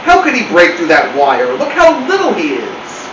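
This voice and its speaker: A man voicing a gullible, good-natured family dog in an expressive way. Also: sits high, normal speed. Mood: skeptical. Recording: roomy, distant mic, clear hum.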